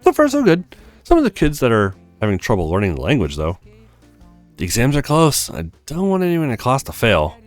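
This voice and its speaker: An eager, earnest young teacher in a visual novel, acted out by a man, with pitch that leaps around. Music plays behind the voice. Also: wildly swooping pitch, a little fast. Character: eager, apologetic novice teacher, easily amused. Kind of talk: visual novel character read aloud.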